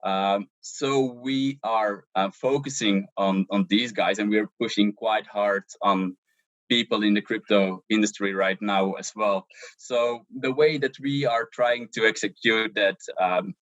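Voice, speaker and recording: Man, halting interview answers, low pitch. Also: fairly even intonation, a bit bright, dry recording, dull audio. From Belgium. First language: Dutch. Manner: hesitant, many ums and restarts. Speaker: social media manager, interview guest.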